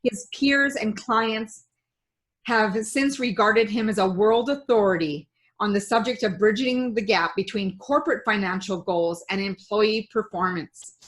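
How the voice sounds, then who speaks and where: A woman's voice: mid-range voice, unhurried. Enthusiastic woman hosting a show. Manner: upbeat, enthusiastic. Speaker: show host. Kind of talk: reading a guest introduction.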